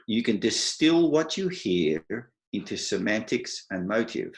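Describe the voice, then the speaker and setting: A middle-aged Australian man giving an advice talk to an online meetup, speaking slowly and clearly with dry humour. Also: lowish voice, roomy sound. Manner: slow, deliberate, clear delivery for non-native listeners.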